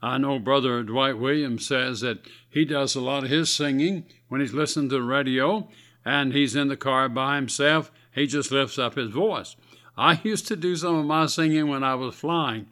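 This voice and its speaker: A man's voice: mid-range voice, moderate pace, fairly bright tone. A man with a scratchy voice, preaching earnestly on a radio sermon broadcast in a folksy, exhorting way.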